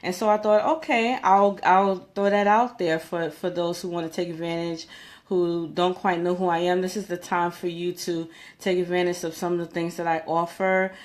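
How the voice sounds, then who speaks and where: A woman with a slightly low-pitched voice talks straight to her viewers as a coach. Her delivery is informal and conversational, with emphatic points and small check-ins along the way. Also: little pitch movement, normal speed. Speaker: career coach giving job interview tips. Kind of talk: informal advice vlog, talking to viewers.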